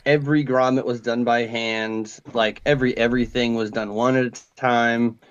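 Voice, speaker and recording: A man's voice: mid-range voice, moderate pace, clear, resonant tone, no room sound. Modest, easygoing man, slightly muffled. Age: 30s. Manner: modest, relaxed storyteller, says like and dude. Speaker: guest. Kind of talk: casual interview chat.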